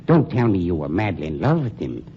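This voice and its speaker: A sinister, silky undertaker. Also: male voice, deep voice, very animated, mellow. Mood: menacing. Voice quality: silky, coldly polite, drawn-out menace. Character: suave sinister male undertaker, smooth and menacing. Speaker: funeral home owner. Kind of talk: 1940s radio acting. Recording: muffled 1940s radio broadcast with faint hiss.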